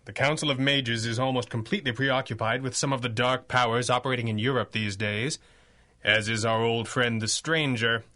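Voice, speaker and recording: An actor playing a commanding masked hero in a 1930s-style radio drama, speaking in a formal, dryly wry manner. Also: male voice, mid-range voice, fairly even intonation, normal speed, bright, forceful tone, natural voice. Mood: grave, brooding.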